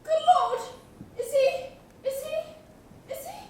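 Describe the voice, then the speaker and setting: A young woman on stage playing an excitable, easily shocked character in a comic farce. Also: very high voice, takes it slowly. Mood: excited shock. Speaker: student actor in one-act comedies. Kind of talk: broad comic stage acting. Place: theatre stage, recorded from the audience. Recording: echoey noisy hall, distant microphone.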